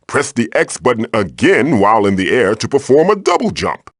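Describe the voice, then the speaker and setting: A man's voice: mid-range voice, big pitch swings, normal speed, dry recording, studio quality. A man voicing an old magical mask, a bossy, wisecracking mentor guiding the player in a video game with theatrical, wry delivery. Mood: instructive.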